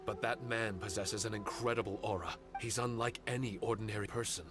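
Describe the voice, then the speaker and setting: A man's voice: lowish voice, steady tone, brisk, over music, lightly acted. Man voicing a solemn little paper spirit. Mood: admiring. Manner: formal, solemn, sighing. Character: tiny living paper spirit, male, earnest, self-blaming. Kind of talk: video game character acting.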